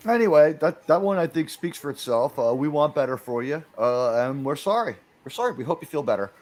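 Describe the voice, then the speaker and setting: A man's voice: fairly high pitch, lively intonation, brisk, darkish tone. A man hosting a casual livestream chat, given to wry mock-formal pronouncements.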